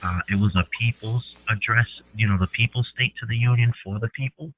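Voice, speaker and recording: Male caller, lowish voice, hesitant and halting, heard through a thin phone line. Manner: hesitant, halting, many fillers and restarts. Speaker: caller on an activist conference call. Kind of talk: casual phone call.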